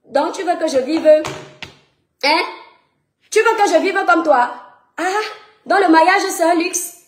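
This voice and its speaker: A woman's voice: very high voice, slow, roomy sound, slight background noise. A woman from Cameroon with a Cameroonian French accent, mocking and confronting her critics in a heated rant to her followers. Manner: mocking, confrontational, rhetorical questions. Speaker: social media personality. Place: France.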